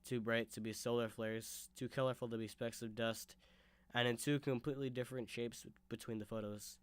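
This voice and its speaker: A man's voice: medium pitch, steady tone, a little fast, clean tone, no room sound. A young man telling a story and chatting casually on a vlog while sick with a sore throat and blocked sinuses. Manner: casual, conversational. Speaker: YouTuber.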